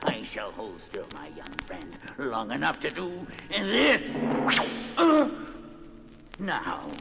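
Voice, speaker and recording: An actor playing an embittered, half-blind underground tyrant in a 1970s superhero record melodrama, speaking in grandiloquent, theatrical phrases. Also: male voice, mid-range voice, wildly swooping pitch, takes it slowly, creaky, very noisy, exaggerated voice. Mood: sneering, menacing. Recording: voice over loud dramatic music.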